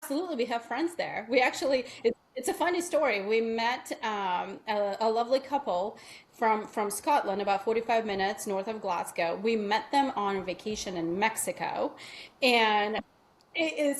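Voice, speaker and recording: A woman's voice: on the high side, moderate pace, slight background noise. A middle-aged woman from Russia with a Russian accent, a real estate agent chatting through an interview, telling stories with lots of fillers. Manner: chatty, storytelling with many fillers. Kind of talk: casual interview chat.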